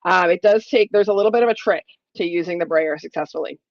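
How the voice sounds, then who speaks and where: A woman's voice: on the low side, fast talker, dry recording, highs cut off. A woman in her forties, a little sniffly, giving a chatty live crafting tutorial from her home craft room and thinking aloud as she works. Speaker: crafting demonstrator.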